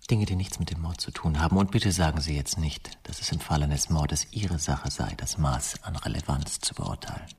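Arrogant male academic, extremely husky, very low voice, terse, evasive, radio crime drama. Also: normal speed, few pauses, darkish tone, full character voice. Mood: cool, defiant. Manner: terse, evasive, coolly defiant. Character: aloof, arrogant, composed male literature lecturer under interrogation.

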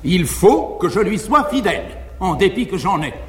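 An actor playing a garrulous, fearful but frank male valet, delivering quick, emphatic comic declamation on a 1950s live stage. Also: high voice, sing-song, fairly bright tone, a little hiss or hum. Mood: mocking, confiding. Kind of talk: classical verse-era stage acting. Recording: echoey 1950s live theatre recording, reverberant hall.

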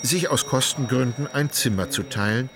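A man narrating a literary radio play in a measured, deliberate storytelling manner. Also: medium pitch, crowd noise, natural voice.

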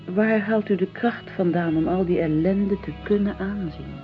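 A woman's voice: medium pitch, normal speed, faint music behind, natural voice. An actress in a radio drama playing an earnest, idealistic young lady with a questioning manner. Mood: earnest, restless. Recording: dull lo-fi radio play recording.

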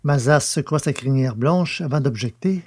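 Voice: mid-range voice, normal speed, darkish tone, breathy edge. A narrator reading scripted story narration between lines of dialogue in an audio drama.

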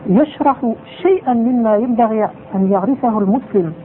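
Guy giving a religious talk, talks fast, sprinkles in arabic phrases, sounds like a phone line. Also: sits high. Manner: mixes in Arabic phrases, repeats points for emphasis. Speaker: religious teacher. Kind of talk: religious lesson. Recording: tinny phone-like sound with faint noise.

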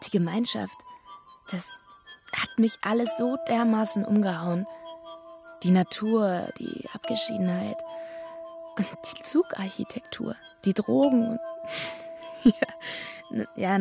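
Radio drama: young female drifter, self-absorbed and free-spirited, halting casual monologue, prominent music underneath. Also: medium pitch, creaky.

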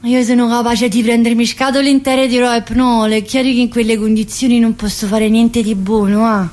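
A woman's voice: fairly high pitch, fairly even intonation, fairly quick, darkish tone, natural voice. An actress playing a talkative, needy, restless young mother in prison, in a theatrical monologue with colloquial southern Italian speech and insistent repetitions. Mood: bitter, resigned. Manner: colloquial dialect, insistent repetitions, addressing a listener. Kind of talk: theatrical monologue, radio drama.

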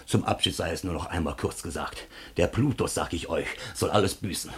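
Pompous, aggrieved rogue: deep, heavily rasping, declamatory, classical radio comedy. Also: male voice, brisk. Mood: bitter, defeated. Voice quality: husky, rough. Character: aggrieved, pompous scoundrel sounding the alarm.

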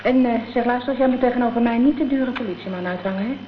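A woman's voice: on the high side, quick pace, close to an everyday voice. A woman playing a brash, blunt, streetwise chip-shop girl in a Dutch radio drama. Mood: brash, wary. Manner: blunt, cheeky. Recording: dull old radio recording, faint noise.

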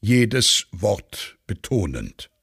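A man narrating a scripted radio play in a deliberate, measured manner. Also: lowish voice, sing-song, fairly bright tone, husky, no echo, studio quality.

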